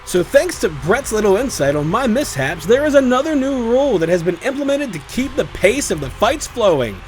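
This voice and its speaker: High-pitched, animated male actor as tournament announcer. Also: brisk, faint music behind. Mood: flustered, then official. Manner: theatrical, showy. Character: excitable male tournament announcer, theatrical and scatterbrained.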